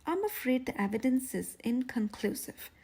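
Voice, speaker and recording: A woman's voice: sits high, expressive, normal speed, gravelly, darkish tone, breathy edge, heavily acted. A woman voicing a precise, solemn little girl scientist in a storybook, with formal, clinical phrasing. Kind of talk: children's storybook read-aloud.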